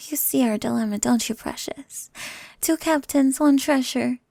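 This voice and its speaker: A woman playing a jealous, possessive pirate captain in an ASMR roleplay, taunting and sultry with breathy, husky whispers. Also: high-pitched, a little slow, a little mellow, no echo, exaggerated voice. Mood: possessive, proud.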